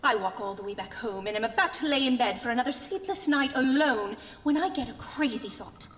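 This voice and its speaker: A woman's voice: a little high, brisk, fairly bright tone, natural voice. A woman playing a furious, scornful young fiancée, scolding theatrically in a comic horror audio drama. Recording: long reverberant room sound.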